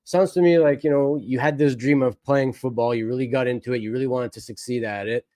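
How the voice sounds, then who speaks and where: A man hosting a podcast interview, calmly summing up his guest's story before asking a question. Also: medium pitch, a little fast, no echo, studio quality. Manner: summarizes the guest's story back, relaxed. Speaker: podcast host. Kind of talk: conversational interviewing.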